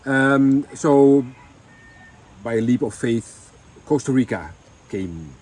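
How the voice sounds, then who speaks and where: A man's voice: mid-range voice, expressive, slow, darkish tone, low-quality recording. A man telling his family's story in a casual chat, with many ums and restarts. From Netherlands. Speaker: vlogger.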